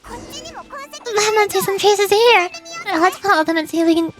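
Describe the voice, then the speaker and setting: Game fairy companion, played by a woman: very high, childlike, chirpy and inquisitive. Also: animated, unhurried, a bit bright, faint music behind, exaggerated voice. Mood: puzzled. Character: small chirpy floating fairy companion, speaks in third person.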